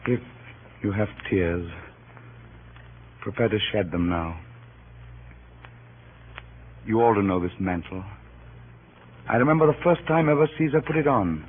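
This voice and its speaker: An actor playing a grieving Roman orator stirring a mob, in theatrical, declamatory old-time radio Shakespeare style. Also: male voice, lowish voice, expressive, measured pace, pauses often, slightly rough. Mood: mournful, solemn. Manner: theatrical, declamatory, swinging from hushed grief to cries. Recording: muffled, hissy old radio recording.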